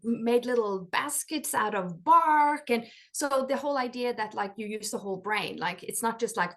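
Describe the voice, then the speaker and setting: A woman from Norway, a life coach and author, telling her story in a chatty interview, full of "like" fillers and quick laughs. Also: medium pitch, a bit bright. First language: Norwegian.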